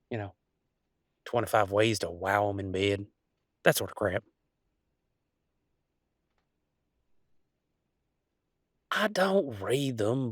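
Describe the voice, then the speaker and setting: A man's voice: on the low side, no echo. An easygoing werewolf boyfriend, acted by a man in an intimate audio roleplay. He rambles in a folksy, affectionate, teasing way. The sound is close and dry. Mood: wry, chatty. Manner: folksy, rambling, playful drawl with colloquial turns. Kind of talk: intimate one-sided roleplay audio, conversational acting.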